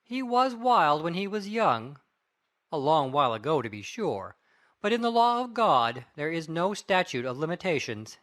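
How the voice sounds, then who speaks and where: A man acting an anxious, brooding middle-aged Victorian gentleman lawyer in a dramatic audiobook reading, grave and musing. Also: fairly high pitch, sing-song, normal speed. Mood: brooding worry. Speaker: audiobook cast reader.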